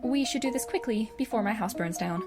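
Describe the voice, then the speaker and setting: A woman playing a composed, pragmatic teenage girl with a dry, precise, deadpan manner in a web audio drama. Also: fairly high pitch, brisk, music in the background. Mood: businesslike.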